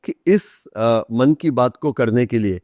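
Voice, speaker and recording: Male podcast guest, Indian accent, mid-pitched, articulate explainer who repeats for emphasis. Dry recording. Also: slow, darkish tone, dry recording. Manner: articulate, emphatic repetition, Hindi mixed with English terms. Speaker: author guest. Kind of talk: podcast interview, explanatory talk.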